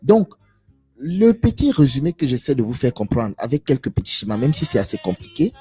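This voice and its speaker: A man's voice: medium pitch, very animated, a little fast, dark tone, slightly rough, faint music behind. A man giving an impassioned live monologue to viewers, emphatic and preachy, repeating phrases and asking rhetorical questions. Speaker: live stream speaker.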